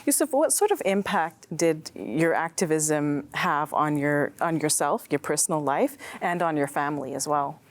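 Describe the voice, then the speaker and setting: A woman hosting an interview, asking questions attentively and backing the guest with soft affirmations. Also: low-pitched, lively intonation, moderate pace, hardly any pauses. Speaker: show host.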